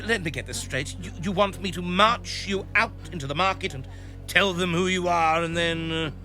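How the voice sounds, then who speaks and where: A man's voice: sits high, moderate pace, bright, forceful tone, faint music behind. A man playing a gloating, menacing villain in an audio drama, taunting and theatrical, drawing out his words. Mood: smug, incredulous. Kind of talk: musical audio drama acting.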